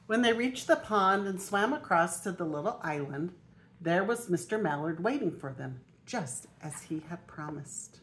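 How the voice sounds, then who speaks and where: An older woman, a grandmother, reading a children's picture book aloud in an expressive way. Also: on the low side, moderate pace, a little hiss or hum. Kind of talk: storybook read-aloud.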